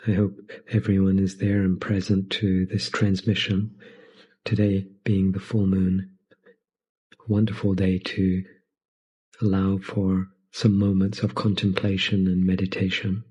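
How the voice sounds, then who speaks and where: A man leading a guided meditation in a soft, soothing, breathy voice, speaking gently in short phrases with long pauses between them. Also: low-pitched, monotone, poor audio.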